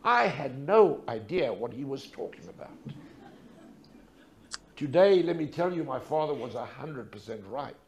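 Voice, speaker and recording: Older man storyteller, high-pitched and emphatic. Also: creaky. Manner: dramatic, emphatic repetition with rhetorical questions. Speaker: speaker telling a personal story. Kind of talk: storytelling lecture to an audience.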